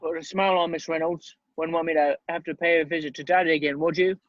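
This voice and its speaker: A man playing a cruel, menacing henchman of a dark wizard in a screenplay table read, taunting and threatening. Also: fairly high pitch, flat delivery, fast talker, no room sound, lo-fi sound, close to an everyday voice.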